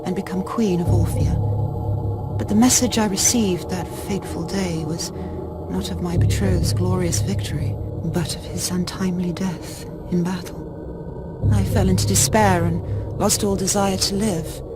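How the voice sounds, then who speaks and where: An actress playing a grieving noblewoman turned witch in a video game cutscene, solemn and dramatic, with measured delivery. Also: on the low side, steady tone, close to an everyday voice. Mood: sorrowful. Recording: loud music behind the voice. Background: loud game soundtrack music.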